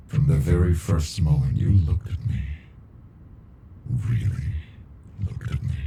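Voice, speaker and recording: A man voicing an ancient captive alien monster in an ASMR roleplay, deep and gravelly, brooding, intimate and possessively smitten with a human. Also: background noise, full character voice. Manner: slow, brooding, deliberate, intimate.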